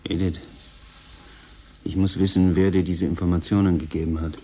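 A weary-hearted male station worker in a science-fiction radio play. His voice is deep, dark and husky, and he speaks in a subdued, doubting, questioning way. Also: level tone, normal speed. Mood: resigned, searching. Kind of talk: radio drama acting.

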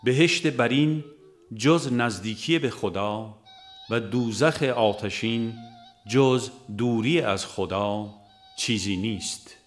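A man reading a religious book aloud as scripted narration, in a measured, formal and solemn manner. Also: medium pitch, faint music behind. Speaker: audiobook reader.

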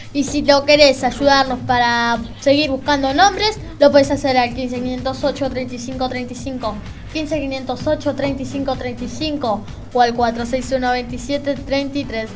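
High-voiced Argentine woman on community radio. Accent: Argentine Rioplatense. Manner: informal, stumbling over read-out names. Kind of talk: casual radio announcing, reading lists aloud.

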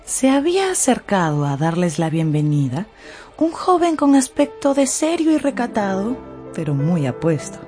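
A woman giving scripted, expressive narration for a radio novel. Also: medium pitch, normal speed, soft background music. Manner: expressive storytelling. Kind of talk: scripted dramatized audiobook narration.

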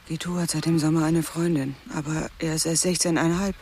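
A woman's voice: deep voice, fairly even intonation, fairly quick, a little mellow, a little hiss or hum. An actor playing an anxious, stubborn mother pleading for help, urgent yet guarded, in a naturalistic radio drama.

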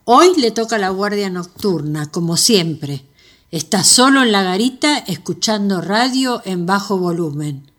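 A woman with a Rioplatense Argentine accent narrating a scripted radio play in a measured storytelling manner. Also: medium pitch, lively intonation, a bit bright.

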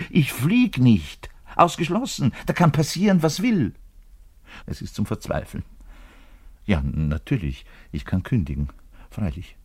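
An actor playing a neurotic, frustrated middle-aged double bassist who bares his self-loathing in a radio-play monologue. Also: husky, no room sound, clean studio sound. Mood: anxious, agitated, then despairing. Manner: volatile, swinging between muttered confession and loud outbursts. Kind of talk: dramatic monologue radio play, confiding to the listener.

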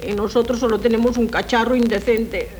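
An actress from playing a chatty, complaining wife in a 1970s radio drama, mocking and dramatic. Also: female voice, fairly high pitch, steady tone, a little fast, a little mellow, slight background noise, lightly acted. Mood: indignant.

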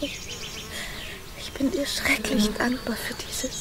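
An actress playing a passionate, hesitant young lady in a radio drama, speaking in breathy, halting phrases. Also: high voice, steady tone, whispered. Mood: breathy, grateful. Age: young adult. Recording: loud outdoor noise with birdsong. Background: birdsong.

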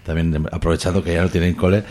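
A man's voice: deep voice, level tone, quick pace, darkish tone. A man with an accent from Spain, co-hosting a radio show in a casual, conversational way. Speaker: radio co-host.

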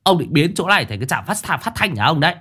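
A fussy, sharp-tongued master with a high, shrill voice, as a male storyteller puts him on. He nags and scolds in rapid bursts, pitched well above a normal man's speaking voice. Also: big pitch swings. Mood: mocking scolding.

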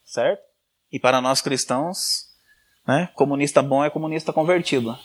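A man's voice: fairly high pitch, normal speed, slight background noise. A man giving a sermon-style teaching, lecturing with frequent tag questions and restarts. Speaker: church speaker.

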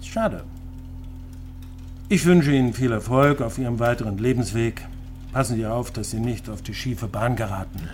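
An actor playing a cold, patronizing East German official in a radio crime drama, speaking in measured phrases. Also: male voice, medium pitch, a little mellow, a little hiss or hum, natural voice. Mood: cold disappointment. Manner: measured, patronizing, drawn-out addresses. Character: stern East German official dismissing a man, coldly condescending.

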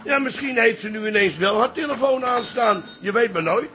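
A man's voice: very high voice, animated, moderate pace, bright, forceful tone, soft background music, exaggerated voice. An actor playing a wry, unbothered, meddling father with a Dutch accent in a radio drama. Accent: Dutch, from the Netherlands. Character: laid-back, meddling old father.

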